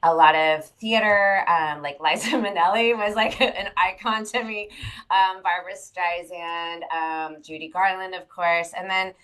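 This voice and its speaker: A woman's voice: lowish voice, fairly even intonation, unhurried, fairly bright tone. A woman who sang in a pop group, chatting casually as a podcast guest with frequent hesitations. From California. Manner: hesitant, many fillers. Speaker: guest, former pop singer. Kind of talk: casual interview chat.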